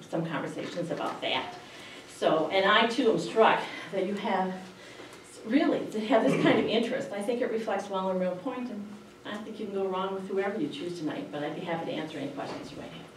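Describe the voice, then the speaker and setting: A woman's voice: mid-range voice, quick pace. A woman making informal remarks at a public meeting. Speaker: speaker addressing a board meeting. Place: indoor public board meeting, far microphone. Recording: very echoey room, distant microphone, faint noise.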